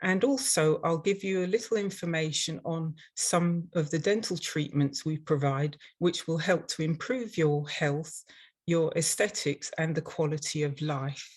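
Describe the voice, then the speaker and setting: An older woman with a London English accent presents a prepared webinar. Her voice sits a little low for a woman. She speaks in a measured, instructional manner, like an experienced instructor addressing learners. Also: a little mellow. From London, England. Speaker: dental hygienist and instructor presenting.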